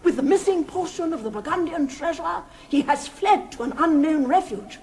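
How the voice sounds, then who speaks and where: An excitable lady professor in a radio comedy. Her high voice swoops widely as she declaims with dramatic relish and a fluttery edge. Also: normal speed. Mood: triumphant. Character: excitable, theatrical female history professor.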